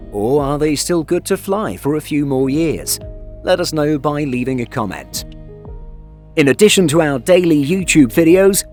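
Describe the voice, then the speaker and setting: A man reading scripted aviation news narration as a voice-over, in a measured, factual manner. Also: on the high side, darkish tone. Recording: voice-over with music underneath. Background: background music.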